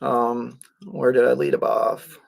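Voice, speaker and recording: A man, a truck driver, chatting casually on a livestream and answering viewer comments, his voice going hoarse, halting with fillers and frequent throat clearing. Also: mid-range voice, fairly even intonation.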